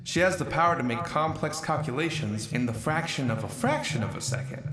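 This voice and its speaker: A man's voice: mid-range voice, animated, a little fast, roomy sound, crowd noise, close to an everyday voice. A man playing a male counselor who narrates his own story in a wry, conversational way in an audio drama.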